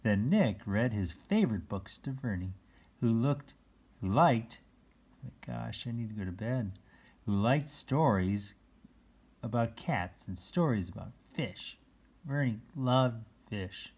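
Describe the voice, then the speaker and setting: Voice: mid-range voice, lively intonation, slow pace, darkish tone, breathy edge. A person reading a children's picture book aloud in an informal, chatty way, adding asides and explaining words simply to young listeners.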